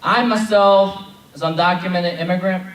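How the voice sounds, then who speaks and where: A man's voice: sits high, steady tone, normal speed. A young man in his twenties, from South Korea, moderating a convention plenary and leading chants from the stage. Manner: leads call-and-response chants. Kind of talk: convention speech. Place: large convention hall with audience. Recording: echoey hall, distant mic, crowd noise.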